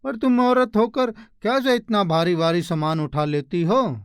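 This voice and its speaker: A man plays a concerned porter in a storybook tale. His voice is high for a man and very clear, and he talks slowly and earnestly, full of sympathy. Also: no room sound, studio quality. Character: concerned male railway porter, sympathetic fellow worker. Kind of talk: animated moral story dialogue in Hindi.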